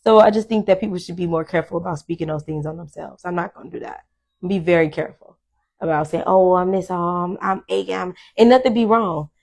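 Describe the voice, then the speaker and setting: A woman's voice: on the low side, fast, vocal fry, darkish tone. A woman in her thirties, speaking, hosts her own talk show and addresses viewers directly. She is blunt and candid, swearing without hesitation. She rambles through her thoughts, with plenty of "um"s and restarts. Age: 30s. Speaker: podcast host. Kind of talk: casual solo monologue to viewers.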